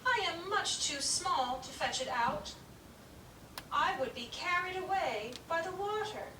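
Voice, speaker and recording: A woman voicing a tiny, fretful old male fairy with a long gray beard, speaking in a high, anxious voice. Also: expressive, a little slow, sharp tone, echoey room, recorded from a distance, a little hiss or hum, exaggerated voice, rough recording.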